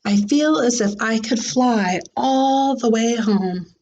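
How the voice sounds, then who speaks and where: A woman's voice: on the high side, slow pace, darkish tone, lo-fi sound, slight background noise. A woman voicing an eager, imaginative little schoolgirl from a storybook, in an earnest, childlike manner. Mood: elated.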